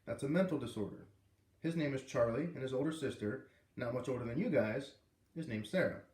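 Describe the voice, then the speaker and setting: A man playing a calm, earnest schoolteacher who explains things patiently to his class in a storybook. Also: mid-range voice, brisk, echoey room, recorded from a distance, natural voice. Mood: earnest, serious.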